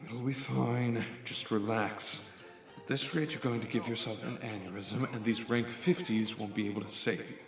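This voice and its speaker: A man's voice: on the low side, fairly even intonation, normal speed, natural voice. A man acting an arrogant, ambitious doctor's scornful inner monologue in a radio drama. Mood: self-soothing. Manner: haughty, scornful muttering to himself. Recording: roomy echo, music underneath.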